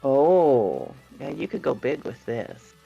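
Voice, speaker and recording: A woman's voice: a bass voice, sing-song, slow, vocal fry, husky. A woman guest on a podcast, an online story narrator, chatting casually, laughing often and hesitant and modest about herself. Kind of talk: casual chat. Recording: muffled lo-fi stream audio with faint music.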